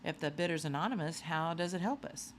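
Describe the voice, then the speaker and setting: A woman voicing a calm, businesslike male FBI agent in a children's storybook, matter-of-fact and questioning. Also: sits low, fairly quick, slight background noise, lightly acted. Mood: firm.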